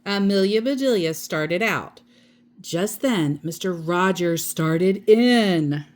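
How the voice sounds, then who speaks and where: A woman storyteller reading a children's book aloud in an expressive narrating style. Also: on the low side, slow.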